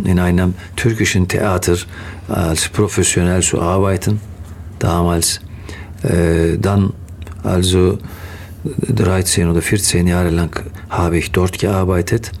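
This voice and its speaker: A middle-aged man with a Turkish accent gives conversational interview answers. His voice is low and very slow, with frequent pauses as he searches for words. There is faint noise behind him. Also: darkish tone. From Turkey. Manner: halting, pausing often to search for words. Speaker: interview guest, theatre actor.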